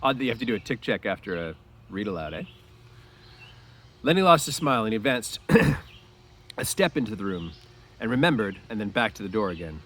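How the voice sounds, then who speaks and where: A man narrating a story aloud like a teacher, stopping for informal explanatory asides and clearing his throat. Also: on the low side, sing-song, fairly quick, pauses often, a bit bright.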